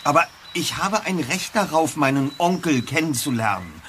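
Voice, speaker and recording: Lively, inquisitive boy detective. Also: fairly high pitch, normal speed, a little hiss or hum. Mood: insistent, serious. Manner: articulate, probing, formal wording. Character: precise, insistent teenage boy detective, inquisitive and serious. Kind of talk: German audio drama acting.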